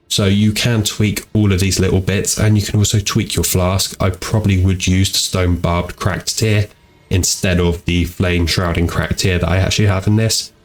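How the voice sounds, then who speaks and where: A tired man with a blocked-up nose from a cold, chatting in an easygoing, rambling way as he hosts a gaming channel into a home microphone. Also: sits low. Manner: easygoing and rambling, repeats "go ahead". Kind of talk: casual commentary over gameplay. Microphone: home desk microphone.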